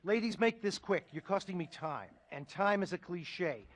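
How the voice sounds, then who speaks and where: A man's voice: sits high, animated, moderate pace. A man playing a rich, ruthless, cynical finance mentor in a satirical video game movie, pompous and contemptuous. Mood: brusque, contemptuous. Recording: music under the dialogue.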